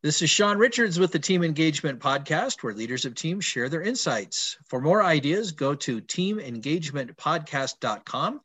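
A man hosting a podcast, asking questions and warmly affirming his guest's answers. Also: fairly high pitch, very animated, moderate pace, few pauses, husky. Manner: encouraging, affirming interviewer. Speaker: podcast host.

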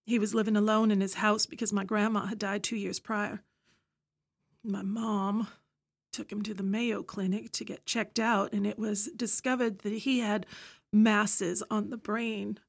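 An actress playing a grieving woman inmate who flares into shouting. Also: mid-range voice, flat delivery, a little slow, creaky. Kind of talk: audio play acting.

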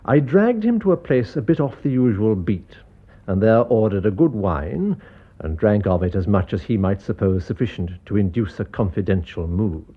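Low, husky, calculating English murderer. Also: male voice, lively intonation. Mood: cool, confiding. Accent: educated English. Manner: measured, precise, detached. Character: cool, calculating upper-class English murderer narrating his confession. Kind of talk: classic British radio drama narration. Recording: muffled, long reverberant radio flashback effect.

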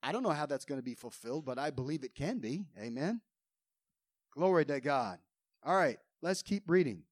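A pastor preaching a fervent sermon, quoting scripture with rising emphasis, his voice a little hoarse. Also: fairly high pitch, expressive, normal speed, no echo, clean studio sound.

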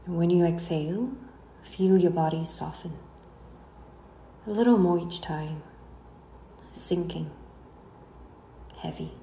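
A woman guiding a meditation in a slow, soothing delivery, letting the ends of her phrases trail away softly. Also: fairly low pitch. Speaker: guided meditation instructor. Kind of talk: slow guided relaxation narration. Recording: roomy echo, faint background noise.

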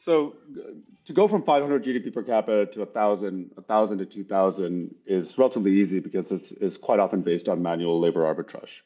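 A middle-aged man from Bangladesh who grew up partly in Texas, explaining his views thoughtfully in an interview, in measured, broken-up phrases. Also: low-pitched, mellow. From Bangladesh, then Texas. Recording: dull, lo-fi sound.

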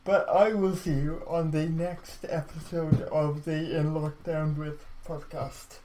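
A man's voice: a little high, flat delivery, slow pace, mellow, a little hiss or hum, poor audio. A man hosting an interview podcast in a conversational style. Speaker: podcast host.

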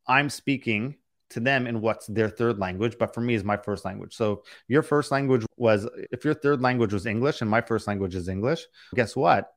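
Candid, rambling man with a low voice. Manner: rambling, restarts often, speaks candidly. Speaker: podcast host and business coach. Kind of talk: candid conversational Q&A.

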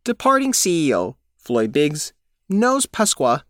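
A man's voice: on the high side, wildly swooping pitch, unhurried, fairly bright tone. A man narrating a scripted news feature as a reporter.

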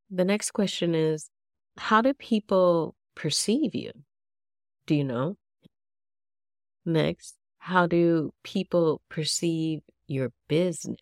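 A woman with a congested, nasal voice talks slowly and chattily into a close mic. Her tone sits low with a gravelly fry, and the sound is dry with no room around it. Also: darkish tone, no room sound. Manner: casual, chatty, asks listeners many questions. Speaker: podcast host. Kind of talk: solo podcast talk to yoga teachers.

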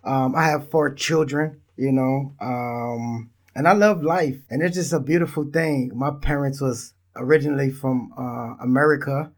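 Man, thirties, from Israel, podcast host, laid-back, rambling, mid-pitched voice. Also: a little slow, few pauses. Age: 30s. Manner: laid-back, rambling, talks straight to listeners. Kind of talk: casual solo podcast monologue.

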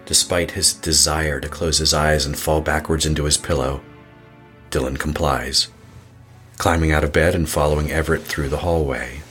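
A man performing the ominous narrator of a horror audio drama, in measured, solemn, literary storytelling over music. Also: very deep voice, steady tone, a bit bright. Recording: music under the voice.

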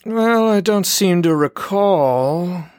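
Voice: medium pitch, animated, takes it slowly, no room sound. An actor playing a smug, manipulative doctor in an audio drama, with condescending, mock-polite, theatrical phrasing. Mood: mock-pleasant, teasing. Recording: loud music under the voice. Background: music.

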